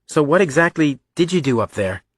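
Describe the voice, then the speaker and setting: Role: sharp kid in a crime audiobook male narrator performing, husky, lifted pitch, probing and wry. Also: expressive, a little fast, no echo, clean studio sound. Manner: short, probing questions. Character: sharp, inquisitive, wry young military-family boy.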